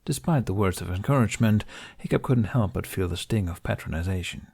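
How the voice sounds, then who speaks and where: A man's voice: on the low side, expressive, fairly quick, gravelly, darkish tone, slightly rough. A man narrating fiction as an audiobook reader, reading from a script.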